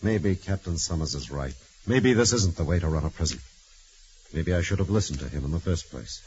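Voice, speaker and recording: A man's voice: low-pitched, lively intonation, a little fast, a little mellow. A man playing a troubled, self-doubting prison warden who broods and questions in a 1940s radio drama. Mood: troubled, shaken. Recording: muffled hissy old radio recording.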